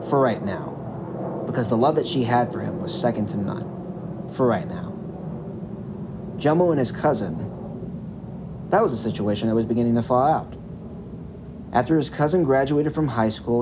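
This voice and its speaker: A man narrating a scripted character backstory as an audio drama, in an expressive, dramatic storytelling manner. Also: medium pitch, noisy background.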